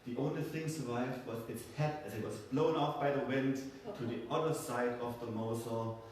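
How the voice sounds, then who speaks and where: A man telling folk tales live to a group, halting and often repeating words and phrases. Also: mid-range voice, steady tone, a little mellow. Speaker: storyteller. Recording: echoey room, far from mic, faint noise.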